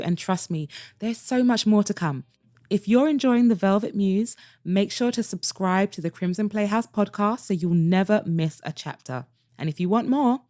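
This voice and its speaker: A woman reading a first-person romance audiobook in a soft, intimate storytelling way and hosting her podcast. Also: mid-range voice, dry recording, studio quality. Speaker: narrator and podcast host.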